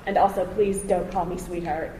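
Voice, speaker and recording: A woman's voice: on the low side, fairly even intonation, moderate pace, warm tone. A woman on a podcast panel, speaking in a firm, matter-of-fact way. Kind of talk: casual panel discussion. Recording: distant mic in an echoey hall.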